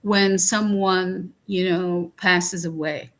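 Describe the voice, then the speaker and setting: Slow, earnest woman from Iraq. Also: lowish voice, steady tone, fairly bright tone. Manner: slow, earnest, with many fillers. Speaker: guest speaker. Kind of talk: reflective podcast talk.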